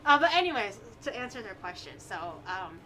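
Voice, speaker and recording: A high-voiced woman hosting a casual cooking stream from her kitchen. Her first language is Mandarin, and she tells stories with plenty of bounce and frequent laughter. Her talk is loose and chatty. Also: fairly quick, soft background music, poor audio. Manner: lively storyteller who laughs often. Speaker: streamer host. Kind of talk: casual livestream chat.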